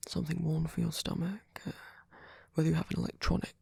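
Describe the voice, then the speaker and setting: Performer as a male vampire boyfriend, whispery and very breathy, creaky, tender, attentive, hesitant, ASMR roleplay. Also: medium pitch, flat delivery, dry recording, heavily acted. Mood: tender, fussing. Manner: hesitant, halting, rambling, with soft filler murmurs.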